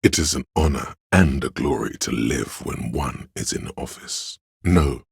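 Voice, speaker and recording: A man voicing a grave, knowing encyclopedia inside a detective's mind in a video game, with measured, solemn, erudite narration and a gravelly, husky bass. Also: expressive, no echo, clean studio sound, heavily acted. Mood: grave, reverent.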